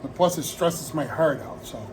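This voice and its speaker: A man's voice: fairly high pitch, animated, moderate pace, background noise. A man in his sixties talking casually to his viewers from his home hobby room, meandering and heartfelt, often restarting his sentences. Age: 60s. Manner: meandering, heartfelt, with frequent restarts and trailing asides. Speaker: hobby vlogger. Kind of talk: rambling casual talk to camera.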